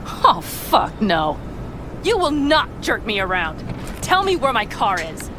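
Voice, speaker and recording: A woman playing a hot-tempered, defiant young nomad in a video game, speaking in a sharp, confrontational way. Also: a little high, big pitch swings, slow, noisy background. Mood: angry, demanding. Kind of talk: video game cutscene acting.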